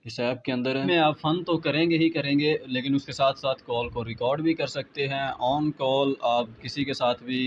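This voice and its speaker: A man's voice: medium pitch, steady tone, normal speed, hardly any pauses. A man with a Pakistani accent giving a casual step-by-step app tutorial as a YouTuber, addressing viewers as friends. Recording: muffled lo-fi with clear background noise.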